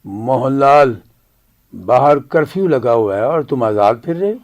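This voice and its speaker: A man's voice: medium pitch, lively intonation, unhurried, a little mellow. A man playing a proud old Kashmiri father in a radio drama, grave and indignant in manner. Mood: stern.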